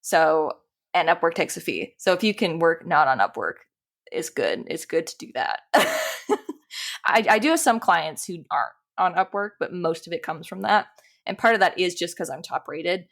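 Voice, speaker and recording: A woman with a slightly low speaking voice talks as a podcast guest. She is chatty and informal, with a self-deprecating, easygoing conversational way of explaining things. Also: sing-song, moderate pace, creaky, a bit bright. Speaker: podcast guest, animator and dungeon master. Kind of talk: casual interview chat.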